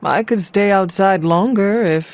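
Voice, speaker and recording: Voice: medium pitch, unhurried, lightly acted. An actor playing a solemn centuries-old demon hunter in a video game, speaking formally and earnestly. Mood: earnest, dry. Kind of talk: video game character dialogue. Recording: muffled lo-fi game audio, music behind.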